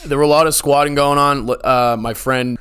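Man, 20s, raspy, rambling, laid-back, podcast co-host, mid-range pitch. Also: fairly even intonation, a little fast, fairly bright tone. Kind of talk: casual chat.

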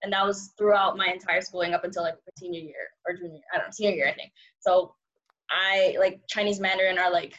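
A woman's voice: fairly low pitch, fairly even intonation, fast talker, a bit bright. Young woman, twenties, first language Cantonese; bubbly, rambling interview guest, slightly muffled lo-fi audio. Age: 20s. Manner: rambling, upbeat, laughs often, many "like" fillers. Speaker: journalism student guest. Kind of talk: casual interview chat.

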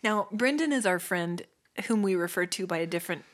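A woman's voice: fairly low pitch, lively intonation, fairly quick. A woman co-hosting a casual podcast, giggling often and reading listener texts aloud. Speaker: podcast co-host. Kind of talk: casual chat.

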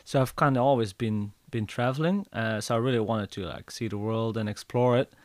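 A man's voice: mid-range voice, brisk, darkish tone, no echo. A man in his thirties from Belgium with a Flemish accent, speaking English as a second language, talking casually with frequent fillers and restarts. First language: Dutch (Flemish). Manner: hesitant, many restarts and fillers. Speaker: guest, motorbike traveler. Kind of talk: casual conversation.

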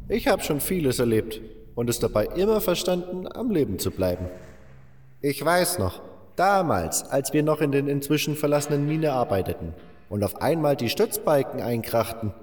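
Man voicing a weathered old miner, raised pitch. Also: very animated, moderate pace, darkish tone, big-room sound, faint music behind. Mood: friendly, reminiscing. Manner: seasoned, plain-spoken storyteller, addresses listener as a youngster. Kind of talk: audiobook character voice.

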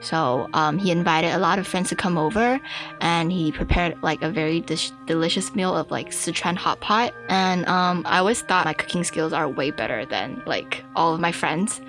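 Young Taiwanese woman, lowish voice, reflective storyteller. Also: moderate pace, a bit bright, soft background music. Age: young adult. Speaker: interview guest. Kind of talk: personal storytelling in an interview. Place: studio.

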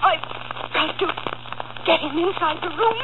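A woman's voice: extremely high pitch, measured pace. An actress playing a frantic, frightened woman in an old radio drama, breathless and overwrought, repeating short phrases. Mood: tense fear. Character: terrified, frantic woman on the run from police. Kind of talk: 1940s radio drama acting. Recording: scratchy, crackling, tinny old radio recording.

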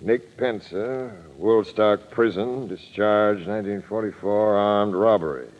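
A man acting a gruff, skeptical police captain in a 1940s radio drama. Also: on the low side, measured pace, lightly acted. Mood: businesslike. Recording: dull, reverberant old radio recording.

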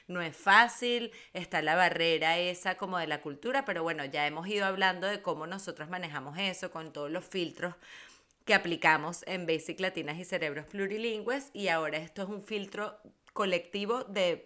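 A woman co-hosting a podcast in an expressive, colloquial, storytelling way. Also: on the low side. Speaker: podcast co-host. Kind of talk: casual conversational podcast talk.

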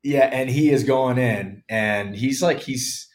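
A man's voice: medium pitch, takes it slowly, slight background noise. A man in his thirties, a former pro hockey player, explaining things casually as a podcast guest and hedging his claims. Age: 30s. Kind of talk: casual interview chat.

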